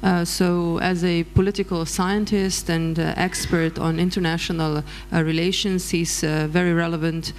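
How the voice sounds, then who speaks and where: Moderator at a panel, middle pitch, reads out a guest bio, low hum. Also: little pitch movement. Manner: reads credentials with pauses. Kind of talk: formal introduction.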